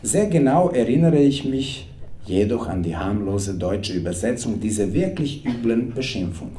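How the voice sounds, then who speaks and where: A man's voice: mid-range voice, expressive, moderate pace, dark tone. A man in his thirties, a native Serbian speaker, reading his own comic text aloud to a live audience at a stand mic on a small club stage, with a wry, playful delivery. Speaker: author reading from his book. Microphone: stand mic at a table. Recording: roomy club with audience noise. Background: audience laughter.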